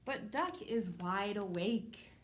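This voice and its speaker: A woman hosting a children's storytime, reading a picture book aloud in simple, measured phrases addressed to young children. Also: mid-range voice, animated, a little hiss or hum. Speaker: children's storytime host at a public library.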